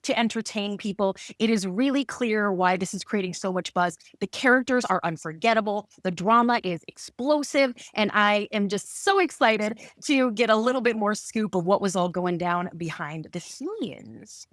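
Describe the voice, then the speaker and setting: A woman's voice: medium pitch, normal speed, hardly any pauses, no echo, clean studio sound. A woman hosting a podcast interview in a gushing, enthusiastic manner. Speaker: host.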